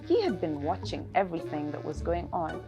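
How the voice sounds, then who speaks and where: A woman storyteller narrating a children's tale in an expressive, scripted read. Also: lowish voice, brisk, over music.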